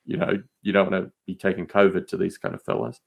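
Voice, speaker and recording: A man's voice: low voice, little pitch movement, quick pace, creaky, husky. A middle-aged man in casual conversation, telling stories in an emphatic, rambling way and often repeating words for stress. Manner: emphatic, repetitive, rambling storyteller. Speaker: podcast host appearing as guest. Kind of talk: casual chat.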